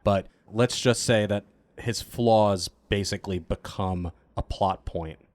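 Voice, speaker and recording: A man's voice: fairly low pitch, steady tone, slow pace, darkish tone, no echo. A man speaking as a cast member in a casual Q&A, informal and joking, thinking aloud as he answers. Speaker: cast member of an improvised audio drama, answering questions. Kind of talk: casual Q&A chat.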